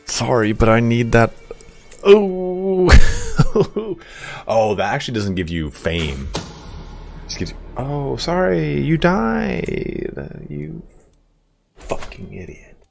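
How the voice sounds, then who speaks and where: A man in his thirties, a YouTube streamer chatting live with viewers while playing a strategy game, loud, excitable and profane. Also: creaky, soft background music. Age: 30s. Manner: loud, excitable and profane, quick swings in pitch.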